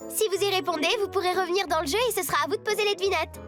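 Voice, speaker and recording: A woman's voice: very high voice, rapid-fire, music in the background. A woman voicing a clever, confident little schoolgirl in a French cartoon. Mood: confident, teasing. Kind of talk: French animated series dubbing.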